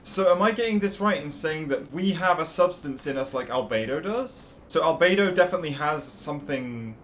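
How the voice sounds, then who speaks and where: Expressive man doing casual game commentary. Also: on the high side, a little fast, a little hiss or hum. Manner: expressive, musing aloud. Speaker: gaming video creator. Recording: game music behind the voice.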